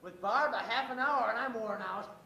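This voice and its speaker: A man's voice: extremely high pitch, moderate pace, gravelly, pushed and bright, heavily acted. A wry, stubbornly sure-of-himself teenage boyfriend acted by a young man. The amateur play is echoey, with faint hiss behind. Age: young adult. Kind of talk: amateur stage play acting.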